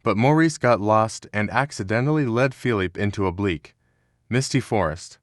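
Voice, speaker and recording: A man's voice: lowish voice, expressive, normal speed, dry recording, studio quality. A man reading scripted narration as the narrator of a children's storybook.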